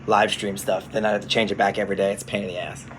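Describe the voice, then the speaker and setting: A man who works as a radio DJ, chatting casually on a phone livestream from his studio, relaxed and explaining things to viewers. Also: on the low side, rapid-fire, noisy background.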